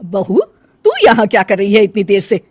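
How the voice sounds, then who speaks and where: Woman doing a loud, bossy mother-in-law. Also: medium pitch, steady tone, normal speed, dry recording, natural voice. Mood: suspicious, questioning. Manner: loud, scolding, demanding. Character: bossy, questioning middle-aged mother-in-law. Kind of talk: Hindi cartoon moral story.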